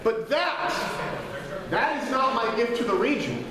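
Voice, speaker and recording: A man with a high, swooping voice delivers a grandiose speech as a defiant, warlike vampire leader. He pauses dramatically and stresses his words like a stage orator. The recording is distant and echoey, from a live gathering. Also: sing-song, crowd noise. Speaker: live role-play performer giving an in-character speech. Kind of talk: theatrical oration to a gathering. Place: indoor room at a live role-play gathering. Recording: roomy, distant, noisy live-event recording.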